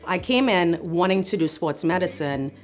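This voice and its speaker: A woman's voice: fairly low pitch, expressive, normal speed, faint music behind. A middle-aged woman from Trinidad and Tobago, a physician assistant talking earnestly and encouragingly about her work and her path into it.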